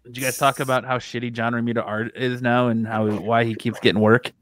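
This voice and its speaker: A man's voice: mid-range voice, flat delivery, brisk, fairly bright tone, a little hiss or hum. Teasing man in podcast banter. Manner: teasing, mocking. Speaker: podcast regular. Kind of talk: casual banter.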